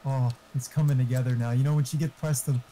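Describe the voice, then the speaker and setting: Dark-voiced man chatting excitedly. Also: medium pitch, fast, a little hiss or hum, rough recording. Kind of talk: casual chat during gameplay.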